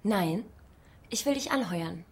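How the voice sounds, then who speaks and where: A woman's voice: fairly low pitch, expressive, takes it slowly, a little mellow, breathy edge, exaggerated voice. An actress playing a plucky, stubborn young woman in her early twenties in a radio drama, cheeky and insistent. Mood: cheeky, determined.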